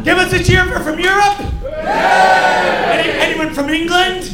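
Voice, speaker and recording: A male comedian doing double act banter on stage, excitable and shouting at the crowd. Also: extremely high pitch, a little slow, reverberant, very noisy. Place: live comedy venue.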